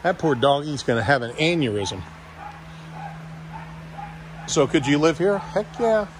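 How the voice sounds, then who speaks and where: A man's voice: on the high side, sing-song, measured pace, background noise. A man working as a real estate agent, talking casually and jokily through an unscripted walkthrough tour. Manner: folksy, offhand, jokey.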